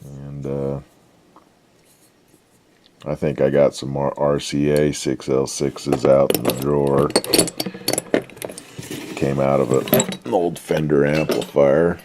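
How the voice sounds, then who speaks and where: Very deep, slow-talking, laid-back man. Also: fairly even intonation, background noise. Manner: laid-back, folksy, halting, trailing off. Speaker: radio restoration hobbyist presenting a repair update. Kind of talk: casual unscripted workbench demonstration.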